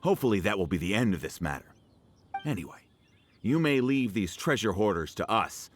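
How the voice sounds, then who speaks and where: Courteous guard with lively intonation. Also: male voice, on the low side, brisk, a little hiss or hum. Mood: dutiful. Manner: polite, formal. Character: earnest, courteous male town guard, dutiful and reassuring. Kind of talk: video game story dialogue.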